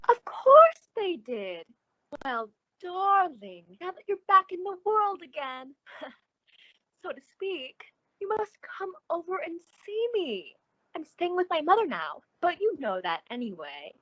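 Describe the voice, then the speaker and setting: An actress playing a gushing, solicitous, sociable woman with effusive, drawn-out emphasis in an old-time radio suspense drama. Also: very high-pitched, big pitch swings, no room sound, highs cut off, exaggerated voice.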